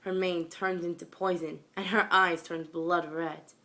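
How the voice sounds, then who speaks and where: A woman's voice: on the low side, level tone, unhurried, pushed and bright. A young woman giving an amateur reading of a fan fiction story, narrating into a phone. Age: young, school age.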